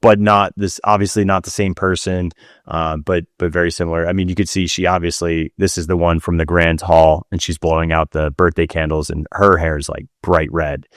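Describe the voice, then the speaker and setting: A man with a low voice talks casually as a podcast co-host. The sound is dry, with very little room in it, and the delivery is conversational. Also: flat delivery, brisk, no room sound. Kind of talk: casual chatty discussion of listener questions.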